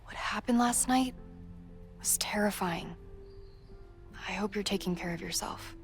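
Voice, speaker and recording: A concerned acquaintance in a naturalistic game drama. Her medium-pitched voice has noticeable fry and a gentle manner. Light music runs underneath. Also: a little slow. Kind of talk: naturalistic video game acting.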